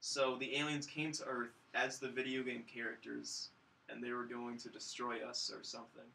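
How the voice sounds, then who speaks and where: A man's voice: mid-range voice, level tone, normal speed, gravelly, pushed and bright, poor audio. A man on a film review podcast, recapping casually with a sarcastic, mock-grandiose comic delivery. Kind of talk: casual comedic plot recap.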